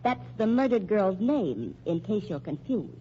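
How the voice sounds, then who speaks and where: A woman's voice: mid-range voice, very animated, a little slow, dark tone. Dogged sardonic female reporter, American accent, 1940s radio style, dull sound with faint noise. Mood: dogged, forceful. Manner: needling, brash. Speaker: radio drama actress. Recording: muffled old radio recording, faint noise.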